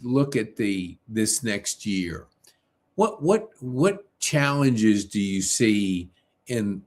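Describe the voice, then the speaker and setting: A man hosting a casual interview, rephrasing his questions in a halting, emphatic way. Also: lowish voice. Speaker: interview host.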